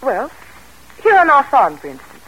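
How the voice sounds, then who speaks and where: An actress playing a clever, earnest and devoted seventeen-year-old daughter with refined, polite delivery in an old-time radio drama. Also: female voice, high voice, huge pitch swings, moderate pace, fairly bright tone, slightly rough, exaggerated voice. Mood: earnest, eager. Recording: noisy old radio broadcast recording.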